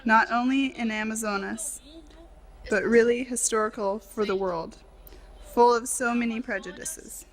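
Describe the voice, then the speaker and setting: A woman reading a translated tribute and speech as a voice-over, in measured, formal phrasing with short clauses. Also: on the high side, steady tone.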